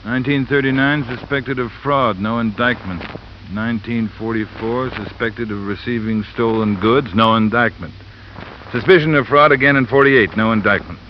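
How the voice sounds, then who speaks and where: An actor playing a gruff, sceptical, unhurried police sergeant in 1950s radio detective drama style, matter-of-fact and muttering. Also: male voice, medium pitch, lightly acted. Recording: muffled, noisy vintage radio recording.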